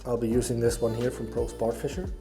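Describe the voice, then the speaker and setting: A man with a Danish accent giving a casual hands-on tutorial, haltingly thinking aloud as he works. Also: mid-range voice, flat delivery, mellow, faint music behind. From Denmark. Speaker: fly tying instructor and YouTuber.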